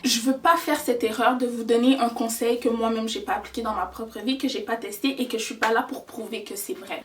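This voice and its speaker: A woman's voice: a little high, distant mic, a little hiss or hum. A young woman giving earnest, chatty life advice in a vlog, slipping in English words. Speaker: vlogger.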